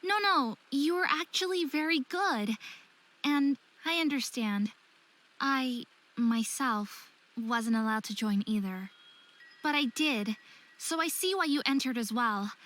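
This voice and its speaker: A woman's voice: sits high, takes it slowly, fairly bright tone. An actress playing a young part-faerie woman in a visual novel game, apologetic and wary, with a hesitant, earnest way of talking. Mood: remorseful.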